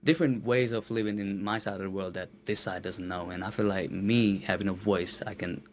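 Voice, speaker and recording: A man's voice: sits low, steady tone, brisk, slight background noise. A man in his late twenties from Nepal, with a Nepali accent, speaking earnestly as a podcast guest and often repeating words as he searches for his phrasing. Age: late 20s. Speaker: guest musician. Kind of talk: casual podcast interview.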